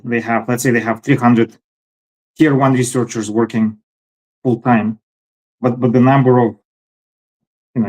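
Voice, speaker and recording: A man with a medium-pitched voice hosts a discussion and reasons his way through a point. He pauses often with "uh" and repeats words as he builds his argument. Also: a little mellow, rough recording. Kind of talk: conversational discussion.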